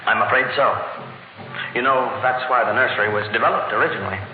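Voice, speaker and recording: An actor playing a grave, authoritative psychologist and family friend in a 1950s radio drama, speaking emphatically. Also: male voice, mid-range voice, fast, natural voice. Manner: emphatic, professional. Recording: echoey, narrow vintage radio recording.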